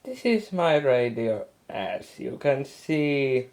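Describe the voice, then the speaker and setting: A man informally presenting a radio hobby demonstration, talking haltingly in short phrases with long gaps. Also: medium pitch, sing-song, a little mellow.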